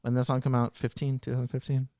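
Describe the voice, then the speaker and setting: A man's voice: mid-range voice, completely flat delivery, fast, warm tone, no echo. A man hosting a podcast, bantering casually in a playful, teasing way. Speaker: podcast host.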